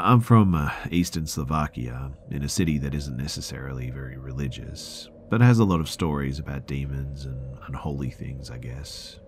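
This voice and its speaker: Very deep-voiced man narrating horror. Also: a little hiss or hum. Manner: hushed, drawn-out dramatic delivery with whispered words. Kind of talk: scripted horror story narration.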